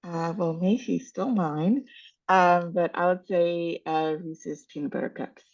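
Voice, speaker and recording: A woman's voice: fairly low pitch, unhurried, a little mellow. A woman from Texas giving short, casual answers as a panelist on a video call. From Dallas, Texas, United States. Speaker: webinar panelist. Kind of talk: casual chat. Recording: slightly dull video-call audio.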